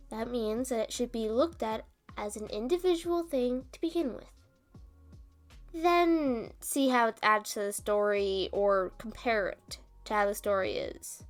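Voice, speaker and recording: Slow-talking, high-voiced roommate woman played by a woman. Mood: earnest venting. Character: rambling, self-deprecating roommate woman venting to a friend. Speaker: audio roleplay performer and script writer. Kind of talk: ASMR roleplay, one side of a casual conversation.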